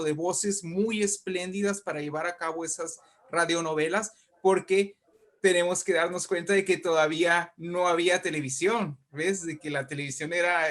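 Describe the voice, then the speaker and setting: A Mexican man with a fairly high voice talks as a guest in an interview. He explains things at length and with emphasis, in a conversational way, often tagging phrases with little checks to the listener. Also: a bit bright. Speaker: invited guest, writer and poet.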